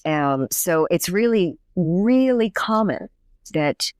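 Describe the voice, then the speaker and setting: A woman's voice: on the low side, lively intonation, slow pace, no room sound, studio quality. A woman professor and native English speaker, explaining her research in a conversational podcast interview, thoughtful with frequent restarts.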